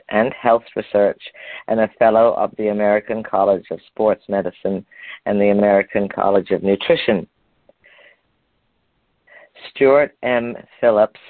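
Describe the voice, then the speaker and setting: A man's voice: on the low side, no echo. An older man from Canada hosting a health talk, reading aloud from an article and stumbling over hard words. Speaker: program host. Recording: tinny phone line.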